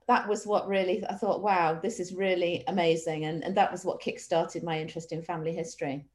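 A woman's voice: on the low side, level tone, a little fast. A middle-aged woman from Manchester with an English accent, talking casually and discursively as an interview guest, with enthusiasm for what she researches. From Manchester, England. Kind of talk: casual conversational storytelling.

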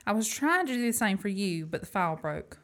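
A woman's voice: medium pitch, sing-song, brisk, a bit bright. Woman playing a plucky, frank young woman. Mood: calm, matter-of-fact. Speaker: student actor. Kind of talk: amateur radio play acting.

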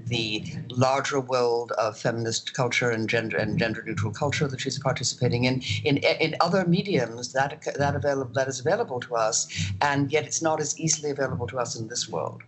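A man's voice: medium pitch, brisk, a little hiss or hum, rough recording. A man speaking in an academic panel discussion with an erudite, formal vocabulary. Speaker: roundtable panelist.

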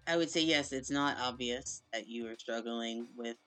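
A podcast host asking measured, thoughtful interview questions. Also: medium pitch, a bit bright, smooth, soft background music.

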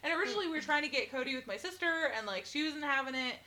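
A woman's voice: high-pitched, expressive, racing, pushed and bright. A woman in her twenties co-hosting a podcast in casual chat, giggly and chiming in with jokey quotes. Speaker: podcast co-host.

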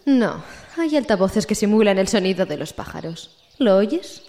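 A woman's voice: mid-range voice, lively intonation, normal speed, dark tone, a little hiss or hum, lightly acted. A woman playing a sensible, questioning city council member in audio drama dialogue. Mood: reasoning, concerned. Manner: conversational, questioning.

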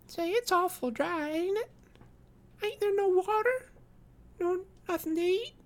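Man putting on a very high, childish little girl. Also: unhurried. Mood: innocently puzzled. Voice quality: childish falsetto. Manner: childlike folksy speech. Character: little girl of five, innocent, grave and matter-of-fact.

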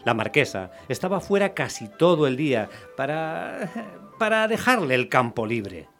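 A man's voice: on the high side, big pitch swings, moderate pace, a bit bright, faint music behind. A man narrating a scripted story in the style of radio theatre.

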